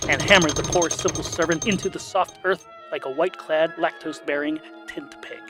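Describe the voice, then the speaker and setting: Theatrical, higher-pitched male storyteller. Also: fairly even intonation, brisk, over loud music. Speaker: narrator. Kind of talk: scripted comic fantasy narration.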